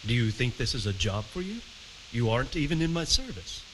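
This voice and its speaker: A man playing a wary, troubled medieval lord in a video game, speaking with measured authority. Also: mid-range voice, big pitch swings, noisy background, close to an everyday voice. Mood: considering, commanding. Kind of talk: cinematic game dialogue.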